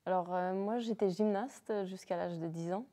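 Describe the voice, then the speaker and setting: A woman's voice: on the low side, normal speed, mellow. A woman from English-speaking Canada in her forties, a native English speaker, answering interview questions hesitantly. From English-speaking Canada, near Montreal. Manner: hesitant, many fillers. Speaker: circus performer and teacher.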